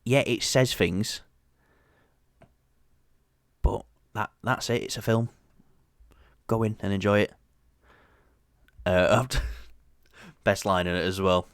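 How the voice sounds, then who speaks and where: A man's voice: on the low side, fairly even intonation, slow pace, pauses often, no room sound, clean studio sound. A young man with a Yorkshire accent co-hosting a movie review show, chatting casually with lots of fillers and restarts. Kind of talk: casual chat.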